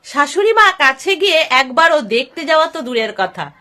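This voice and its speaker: A woman storyteller with a high, bright voice. She narrates a scripted family tale with a lively, swooping delivery. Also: normal speed. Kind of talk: scripted moral story narration in character dialogue.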